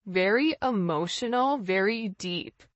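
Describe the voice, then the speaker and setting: A woman's voice: medium pitch, animated, measured pace, no echo, dull audio. A woman giving an informal spiritual tarot reading, talking directly to the viewer in a conversational way and often checking in with the listener. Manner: conversational, often checking in with "right?" and "you know". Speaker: tarot reader, host.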